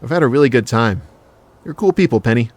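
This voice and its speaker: An actor playing a cheeky, big-hearted casual lover in an audio drama, with blunt, slangy, joking talk. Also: male voice, medium pitch, animated, fast, natural voice. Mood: sincere.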